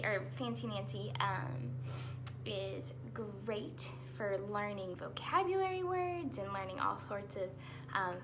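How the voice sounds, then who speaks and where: A woman's voice: fairly high pitch, noisy background. A woman hosting a children's storytime, reading aloud expressively with chatty asides and explaining words simply for young listeners.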